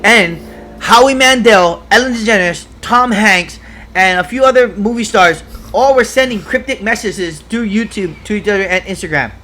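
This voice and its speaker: A man from Hawaii talking in a casual podcast chat, excitable and profane, rambling from one point to the next. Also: high-pitched, moderate pace, a little hiss or hum. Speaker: podcast guest.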